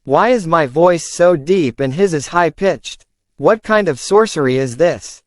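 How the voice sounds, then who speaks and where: A brash cartoon sea sponge, as a man puts him on, speaking higher than his own voice. He yells in loud, crude outrage, dry and close to the mic with faint music underneath. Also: animated, measured pace, dry recording. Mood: outraged. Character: cheeky cartoon sea sponge, young male, easily outraged.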